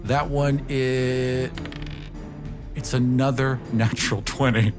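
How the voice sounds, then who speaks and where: A man's voice: mid-range voice, steady tone, slow, over music. A man playing a tabletop game, casually describing his character's moves and rolls. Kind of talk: casual tabletop role-play chat.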